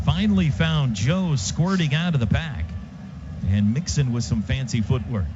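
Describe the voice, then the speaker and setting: A man's voice: mid-range voice, normal speed. An American man calling live football on the radio in clipped phrases that build with the play. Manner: clipped phrases, building excitement as plays unfold. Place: stadium radio booth. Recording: dull radio broadcast, loud stadium ambience. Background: loud outdoor stadium noise.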